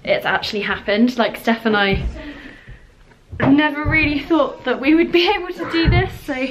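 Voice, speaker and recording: A young woman filming a casual vlog tour of her new empty house, holding the camera at arm's length and talking in an excited, giggly way. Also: high voice, normal speed, slight background noise, rough recording. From England. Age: young adult. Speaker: vlogger and mother. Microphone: handheld camera at arm's length.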